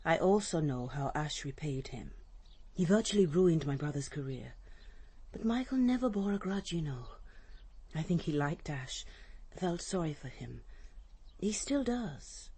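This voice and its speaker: An actress playing a defensive, troubled sister in a radio drama. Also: female voice, low voice, expressive, a little slow, warm tone, breathy edge, heavily acted. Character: defensive, troubled sister protecting her brother.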